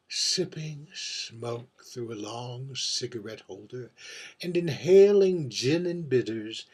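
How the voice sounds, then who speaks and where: Male actor as older drag queen, stage monologue: husky, unhurried, camp, wry. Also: medium pitch, exaggerated voice. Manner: camp, musing, tag questions and endearments. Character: aging world-weary drag queen, wry and theatrical, drinking at bar. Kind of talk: theatrical monologue.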